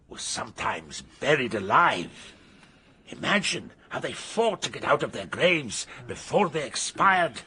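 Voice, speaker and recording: A pompous male professor of arcane lore in a radio drama. His voice is very husky and forceful, sweeping up and down as he holds forth deliberately. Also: medium pitch, full character voice. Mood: darkly fascinated. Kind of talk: radio mystery drama acting.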